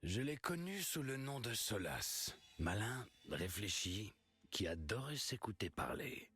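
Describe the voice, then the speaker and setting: An actor playing a grave male storyteller, narrating an ancient legend in a solemn, measured, ominous way for a fantasy video game prologue. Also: lowish voice, sing-song, pushed and bright, heavily acted. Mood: grave, knowing.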